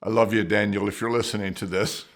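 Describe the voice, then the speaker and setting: A man's voice: fairly low pitch, flat delivery, fast. A middle-aged man telling a personal story at home in a rambling, conversational way, often restarting his sentences and adding asides. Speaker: storyteller, mission worker. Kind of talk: casual spoken anecdote.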